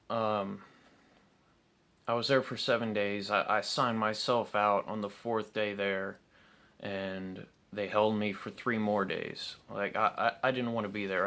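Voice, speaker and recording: Young male vlogger, low voice, hesitant and frank, lots of pauses and restarts. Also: a bit bright. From South Carolina, United States. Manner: halting and candid, many ums and restarts. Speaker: vlogger telling his recovery story. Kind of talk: unscripted personal storytelling to camera.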